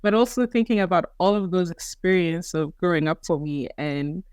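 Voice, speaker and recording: Reflective Kenyan-raised woman guest, smooth voice. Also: lowish voice, fairly even intonation, moderate pace, a little mellow, no echo. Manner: reflective, storytelling with frequent restarts. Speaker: guest, company founder. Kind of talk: conversational interview. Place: home in Denver, remote call.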